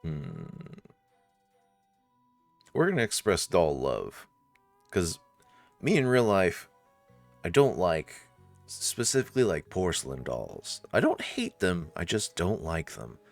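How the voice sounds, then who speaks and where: A man's voice: sits low, wildly swooping pitch, slow, creaky, long pauses, no room sound, faint music behind. A man narrating a visual novel playthrough expressively, with casual asides.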